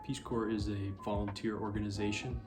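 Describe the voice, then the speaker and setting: A man's voice: fairly low pitch, little pitch movement, fairly quick, music in the background. A young man from Colorado co-hosting a casual couple chat, easygoing and joking as he tells a story. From Colorado, western United States. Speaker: co-host.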